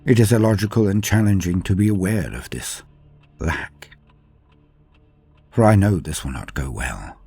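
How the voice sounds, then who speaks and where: A man narrating a dramatic first-person war story as a grim, brooding space marine commander, grave and deliberate, with theatrical pauses. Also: sits low, lively intonation, warm tone, husky. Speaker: lore channel narrator.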